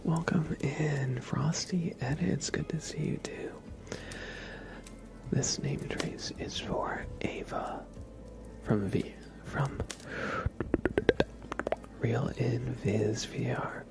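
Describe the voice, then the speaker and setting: A man hosting an ASMR livestream, chatting casually and gently to viewers in a soft breathy whisper, close to the microphone. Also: mid-range voice, drawn-out words, long pauses. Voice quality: breathy whisper with heavy fry.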